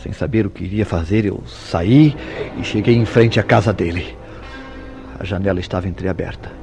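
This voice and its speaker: A jealous, tormented lover from an old-fashioned radio soap opera. His voice is low, with formal, theatrical diction and weighty pauses, and prominent music plays behind it. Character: Mauro, jealous, tormented lover confessing a crime.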